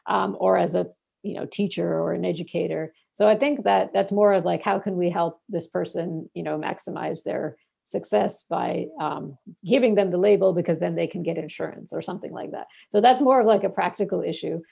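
Low gravelly husky woman. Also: a little fast, dark tone. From Bangladesh. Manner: rambling, many fillers and restarts. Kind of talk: casual interview answers.

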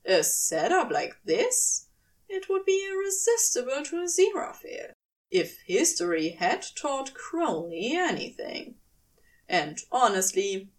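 Lady reading a fanfic audiobook style, unhurried, expressive, sounds a bit far from the mic. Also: sits high. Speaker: podfic reader. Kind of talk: scripted fiction narration, audiobook reading.

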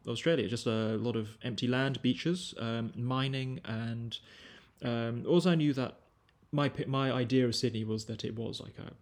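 A man in his twenties from the UK, hosting a casual podcast chat in a measured, unhurried way. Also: mid-range voice, fairly even intonation. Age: 20s. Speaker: podcast host.

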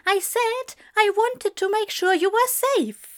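A woman's voice: high voice, big pitch swings, normal speed, pitched above the performer's own voice. A woman voicing a prim, fussy male angel in an audio story, with a proper, fluttery manner. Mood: embarrassed. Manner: fluttery, proper, hesitant when flustered.

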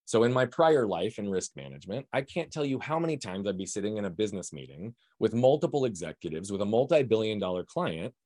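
Fast, loud, high-energy man. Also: very animated, no echo, studio quality. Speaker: guest, performance coach. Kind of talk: podcast interview, emphatic explaining.

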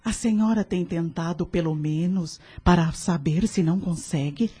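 An actress playing a calm, wise female spiritual counselor in a radio drama, measured, formal and gently probing. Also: on the low side, husky. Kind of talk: radio soap opera acting.

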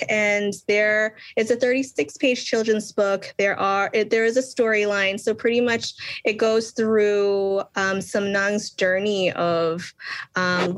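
A young adult woman from Boston, an author answering interview questions earnestly, with many pauses and restarts. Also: medium pitch, no echo. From Boston, Massachusetts. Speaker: children's book author, guest.

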